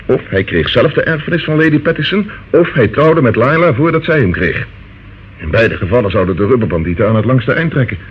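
An actor playing a determined police detective in a radio play, matter-of-fact and questioning. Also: male voice, mid-range voice, animated, a little fast, natural voice. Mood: earnest, urgent. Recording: muffled, noisy old radio recording.

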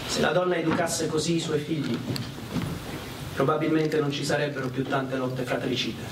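A man's voice: a little high, steady tone, moderate pace. A man performing a literary monologue in an earnest, declamatory theatrical manner. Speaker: performer reciting. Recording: echoey, distant, faintly noisy pickup.

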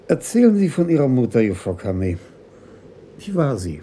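An actor playing a polite, probing senior police commissioner in a German radio crime drama, questioning in a courteous, measured way. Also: male voice, medium pitch, sing-song, dark tone, a little hiss or hum, natural voice.

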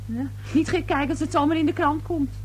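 An actress playing a blunt, excitable, scolding woman with colloquial speech in a radio play. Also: high voice, a little fast, natural voice. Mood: scornful.

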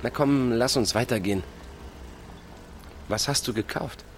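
A man's voice: mid-range voice, unhurried, slight background noise, lightly acted. An actor playing a teasing, insistent village man of thirty in a radio drama, pushily friendly. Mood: casual, coaxing. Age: 30s.

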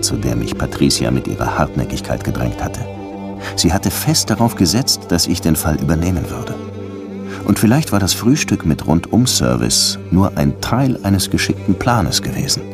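An actor playing a world-weary, hard-boiled middle-aged police detective in a German radio crime drama. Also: male voice, sits low, very animated, normal speed, music in the background. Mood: brooding. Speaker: lead actor and first-person narrator.

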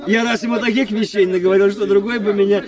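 Man acting a petty boastful young officer, high voice, affected, radio drama, loud crowd behind. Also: steady tone, fast, recorded from a distance, close to an everyday voice. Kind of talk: theatrical radio play acting.

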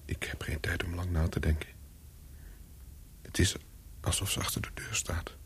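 A man acting a nervous, remorseful former lover in a radio play, speaking in a halting, earnest way. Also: deep voice, level tone, whispered, natural voice. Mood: tense, hushed. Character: nervous, remorseful antique dealer seeking an old lover. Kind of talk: radio play acting, dialogue and inner monologue.

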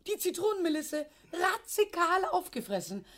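An actress playing a doting, gently stubborn middle-aged mother in a satirical radio play, dreamy and softly insistent. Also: extremely high pitch, big pitch swings, normal speed. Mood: cooing, delighted. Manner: cooing, apologetic, softly insistent. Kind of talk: German radio play acting, satirical comedy.

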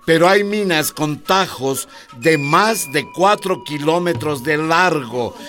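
An actor playing an earnest village schoolteacher in a radio drama, didactic and deliberate as he rallies his neighbours. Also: on the high side, fairly bright tone, faint music behind. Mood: alarmed, persuasive.

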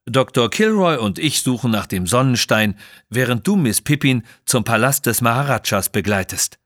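A man's voice: medium pitch, animated, normal speed, fairly bright tone, no echo, clean studio sound, natural voice. A man voicing a decisive, protective adventurer hero in a children's audio story.

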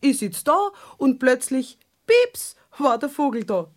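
A woman's voice: high-pitched, big pitch swings, measured pace, exaggerated voice. An actress playing a persistent, nosy, good-natured neighbour woman with a Bavarian accent in a children's radio play. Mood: chatty, excited. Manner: chatty, insistent.

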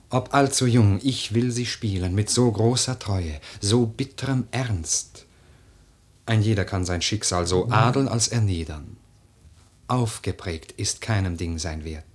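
An actor playing a young, world-weary widower in a verse radio drama, speaking gravely and deliberately. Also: male voice, fairly low pitch. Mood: bitterly resolute. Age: young adult.

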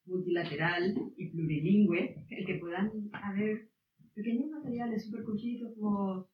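A woman's voice: medium pitch, normal speed. A woman whose native tongue is Guaraní, talking from a distance in a roomy, echoey hall. The sound is thin and phone-like with a faint hiss. She wanders through her point, searching for words. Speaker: audience member asking questions. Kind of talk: long spontaneous question at a public debate.

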